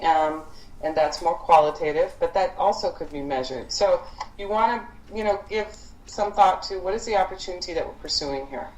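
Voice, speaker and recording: A woman leading a conference call briefing for project teams, speaking in a halting, thinking-aloud way with frequent pauses. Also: on the low side. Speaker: program leader briefing student project teams. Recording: echoey conference call with background noise.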